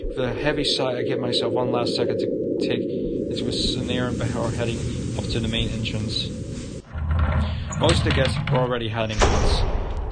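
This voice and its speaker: A man livestreaming a visual novel, reading the narration aloud in a halting way with stumbles and repeated words. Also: mid-range voice, very noisy. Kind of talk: live narration of game text. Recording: loud music behind the voice. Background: game music.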